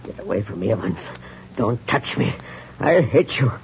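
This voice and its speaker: A man's voice: on the low side, big pitch swings, normal speed, gravelly. An actor playing a vengeful, hate-filled criminal man in overwrought 1930s radio melodrama style. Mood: bitter hatred. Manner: overwrought, shouting, hissing threats. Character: vengeful, frenzied male criminal, full of hate. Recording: muffled, noisy 1930s radio recording.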